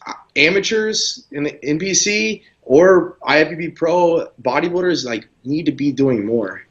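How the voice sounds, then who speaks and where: A man being interviewed as a guest, chatting casually and relaxed with frequent "you know" fillers. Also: on the high side, measured pace, highs cut off. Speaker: guest pro bodybuilder.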